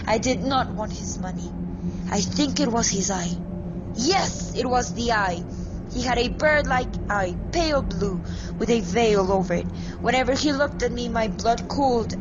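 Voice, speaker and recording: A woman playing a nervous, mad murderer who insists on sanity, in a deliberate, dramatic gothic horror monologue. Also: a little high, heavy background noise, lightly acted. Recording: dull lo-fi recording, loud music over voice. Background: prominent background music.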